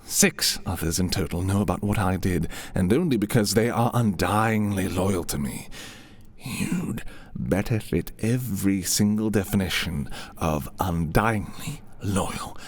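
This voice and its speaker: Man acting a deep, slow-spoken possessive prince. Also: no room sound. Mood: cold, commanding. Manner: slow, deliberate, imperious yet intimate. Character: possessive, commanding young prince, darkly romantic schemer. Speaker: audio roleplay performer. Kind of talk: dark fantasy ASMR roleplay acting.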